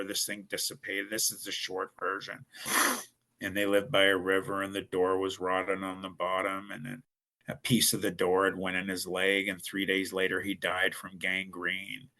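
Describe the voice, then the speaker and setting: A man's voice: deep voice, vocal fry, sharp tone, poor audio. A man from Ohio, a podcast guest, tells his story in an earnest, rambling way, often restarting his sentences. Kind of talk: casual storytelling.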